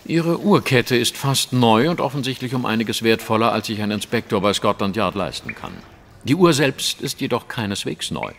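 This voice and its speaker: An actor playing a shrewd, self-assured English detective in an audio drama, speaking precisely and eloquently in a lecturing manner. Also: male voice, mid-range voice, big pitch swings, moderate pace, fairly bright tone, a little hiss or hum.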